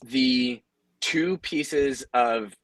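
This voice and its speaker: A man with a medium-pitched voice, recorded dry with little room sound. He explains things conversationally as a panelist, casual and encouraging in how he puts them. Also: very slow, fairly bright tone, dry recording. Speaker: podcaster and audio creator, panelist.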